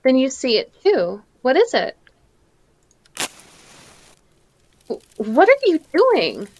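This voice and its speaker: A woman's voice: sits high, wildly swooping pitch, measured pace, darkish tone, breathy edge, dull audio, heavily acted. A woman playing a nervous, polite, naive photographer with a hesitant stammer, in old-time radio drama style. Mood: uneasy. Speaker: amateur voice actor in live table read.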